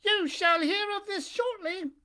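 A man voicing a wooden puppet boy, speaking high, prim and emphatic in a storybook reading. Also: unhurried, sharp tone, exaggerated voice. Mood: indignant.

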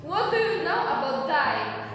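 A woman playing an outspoken, bossy orphan girl with a tender side in a puppet show, speaking deliberately and emphatically. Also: extremely high pitch, sing-song, a bit bright, heavily acted. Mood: scolding, indignant. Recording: echoey hall, distant mic, music.

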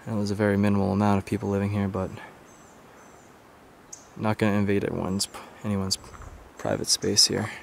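A man's voice: on the low side, completely flat delivery, brisk, vocal fry. A young adult man casually narrating an exploration to a handheld camera as a vlogger. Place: outdoors on wooded roads and at derelict buildings. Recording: noisy outdoor handheld camera audio.

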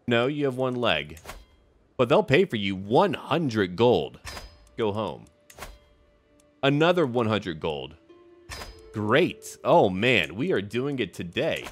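Male game host, low voice with lively pitch movement, theatrical roleplay delivery in clipped statements, music underneath. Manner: theatrical, short declarative remarks, talking to characters. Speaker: gaming video host. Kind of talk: first-person roleplay commentary on a strategy game.

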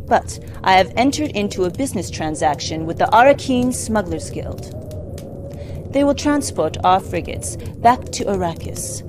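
A woman's voice: medium pitch, noisy background, close to an everyday voice. A woman playing a cold, imperious war commander in a video game, speaking in a formal, businesslike manner. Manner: formal, clipped, coldly businesslike. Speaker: military commander character giving orders. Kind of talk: scripted video game cutscene acting.

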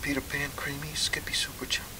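A man playing a kindly, lonely confidant in an audio drama, gentle and halting in hushed tones. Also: fairly high pitch, little pitch movement, in a whisper, lightly acted, low-quality recording. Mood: hushed concern.

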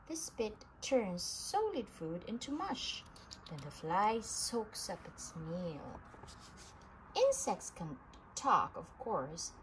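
A woman reading a children's science book aloud in an animated storybook manner, with emphasis for young listeners. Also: medium pitch. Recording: clearly noisy home recording.

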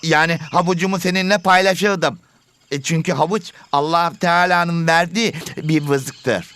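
A man's voice: on the high side, steady tone, unhurried, a bit bright, clean tone, no echo. A man voicing a patient rabbit pupil in an educational cartoon, earnest and reasoning. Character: patient rabbit pupil who loves carrots.

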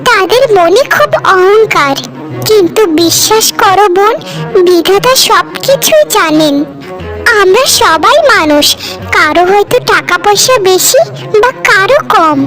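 Woman as a gentle, very high-voiced rich wife. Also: animated, unhurried, full character voice. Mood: hurt pleading. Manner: earnest, entreating. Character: rich, kindly wife, gentle and earnest sister-in-law. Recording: music behind the voice.

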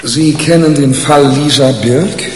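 An actor playing a disgruntled, self-mocking newspaper reporter in a radio crime drama, colloquial and grumbling. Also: male voice, a little high, unhurried, a little mellow, slight background noise, close to an everyday voice. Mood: businesslike. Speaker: actor narrating as the lead character.

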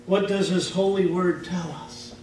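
A male pastor with a Midwestern American accent preaching a sermon in a church, slow and emphatic with casual grammar. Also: high voice, steady tone, a little mellow. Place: church sanctuary. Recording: echoey church from far off, faint hum.

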